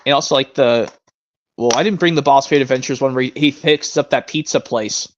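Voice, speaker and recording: A young man in his twenties chatting on a live stream, rambling with frequent restarts. His voice sits at an ordinary middle pitch. The audio is a little muffled and lo-fi. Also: a little fast, a little hiss or hum. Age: 20s. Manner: rambling, restarts often, enthusiastic collector. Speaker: stream host. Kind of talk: casual chat.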